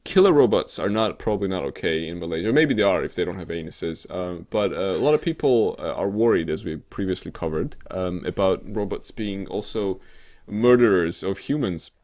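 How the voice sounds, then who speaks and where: A man's voice: deep voice, expressive, brisk, darkish tone. A man co-hosting a show in casual comedic chat, talking in a hesitant, rambling way full of filler sounds. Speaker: co-host of a weekly show. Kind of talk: casual comedic chat about tech news.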